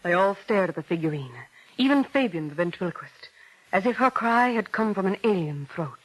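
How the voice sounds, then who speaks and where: A man narrating an eerie 1940s radio drama as a solemn, deliberate storyteller. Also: high-pitched, animated, a bit bright, low-quality recording.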